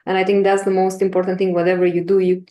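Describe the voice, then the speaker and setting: A woman's voice: fairly low pitch, little pitch movement, fast talker, dark tone. A woman researcher giving a reflective, conversational answer as a guest, earnest and searching for her words, in a roomy, echoey recording.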